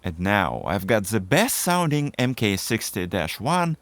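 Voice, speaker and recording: A man with a fairly low voice narrates a scripted how-to video. His intonation is very varied and animated. He explains things methodically, step by step, as the host of the tutorial. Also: measured pace, no echo.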